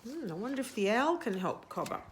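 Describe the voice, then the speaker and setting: A woman's voice: on the high side, very animated, brisk, slight background noise. A woman reading a picture book aloud for young children, explaining simply and asking questions as she goes. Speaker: children's storyteller.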